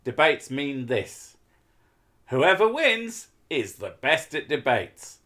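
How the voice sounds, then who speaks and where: Male streamer, mid-pitched, rambling casual chat with swearing. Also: big pitch swings, takes it slowly, pushed and bright. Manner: swears often, rambling with fillers.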